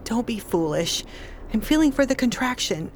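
Dry pregnant wife, radio play, swingy pitch, a bit of background noise. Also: female voice, big pitch swings, moderate pace. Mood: dry, uneasy. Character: pregnant wife in labor, dry, practical, anxious. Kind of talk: radio drama acting.

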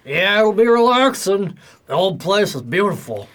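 A man's voice: sits high, very animated, moderate pace, a bit bright. A man playing a blunt, hot-headed, upset husband in an amateur radio drama. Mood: subdued.

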